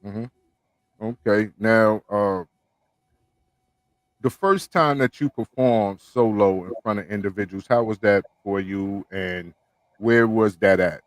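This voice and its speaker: A man's voice: on the low side, takes it slowly, dry recording. A man hosting a casual podcast interview, talking loosely with lots of "you know what I'm saying" fillers. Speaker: podcast host.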